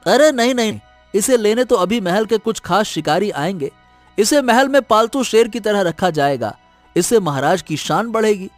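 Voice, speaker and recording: A man acting a high-voiced, earnest village man. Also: expressive, moderate pace, faint music behind. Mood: brisk, official. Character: village men and a brisk palace soldier. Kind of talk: animated Hindi moral-story dramatization.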